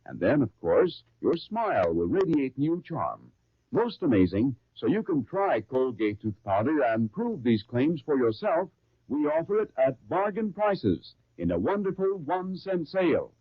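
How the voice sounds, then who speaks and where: A man announcing and recapping a radio serial in brisk, salesy 1930s style. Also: a little high, big pitch swings, husky, no echo. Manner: brisk, salesy, then storytelling. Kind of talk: 1930s sponsor ad and program intro. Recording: tinny 1939 radio broadcast recording.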